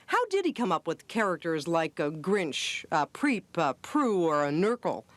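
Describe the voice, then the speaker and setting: Animated American woman reporting TV news. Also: fairly low pitch, a little slow, dry recording. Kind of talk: scripted report narration. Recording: 1980s archive TV news audio.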